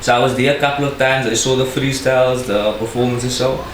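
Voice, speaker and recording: A man's voice: mid-range voice, fairly even intonation, fairly quick. A young man from South Africa with a Cape Town accent, a rapper talking casually as a podcast guest, slangy and laughing often. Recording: echoey room with noise.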